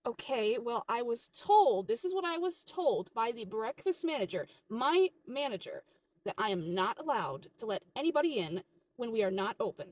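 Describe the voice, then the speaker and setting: A woman's voice: a little high, sing-song, moderate pace, poor audio. A woman playing an exasperated, defensive sandwich shop employee in a comedy skit, argumentative and incredulous. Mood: indignant.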